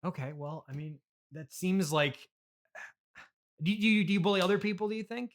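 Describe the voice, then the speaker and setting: A man's voice: high-pitched, moderate pace. A man interviewing in an improvised comedy conversation, hesitant and stammering, with an awkwardly defensive manner.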